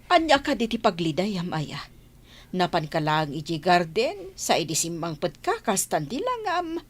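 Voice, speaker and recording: A woman's voice: on the low side, very animated, normal speed. A woman playing a chatty, opinionated middle-aged village mother with an Ilocano accent in a comedy radio drama. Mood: teasing, fussy.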